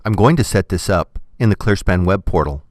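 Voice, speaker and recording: A man with a low voice presents a scripted software tutorial. He speaks methodically, explaining each step like an instructor. The recording is dry and close, with very little room sound. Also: lively intonation, brisk, no room sound.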